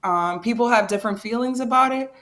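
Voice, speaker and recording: A young woman talking as a guest in a casual interview, eager and blunt, quick to agree and not shy about swearing. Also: a little high, a bit bright. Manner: enthusiastic, quick to agree, plain-spoken with some swearing.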